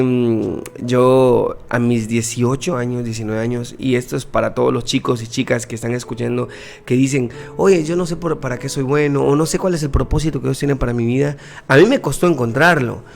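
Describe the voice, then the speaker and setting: A man's voice: mid-range voice, vocal fry. A young Guatemalan man with a Guatemalan Spanish accent, a musician guest earnestly telling his faith story in a casual interview, often repeating words.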